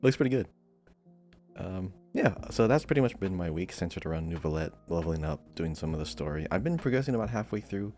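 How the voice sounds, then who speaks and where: A man's voice: deep voice, expressive, a little fast, warm tone, soft background music. A man co-hosting a gaming podcast, talking in a casual, conversational style. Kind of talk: casual chat.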